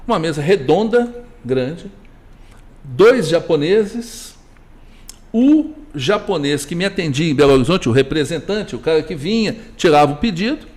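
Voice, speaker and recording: A man's voice: sits high, darkish tone. A middle-aged man with a Brazilian Portuguese accent, a business owner chatting as a guest and telling rambling anecdotes with many restarts. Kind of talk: casual conversation, storytelling.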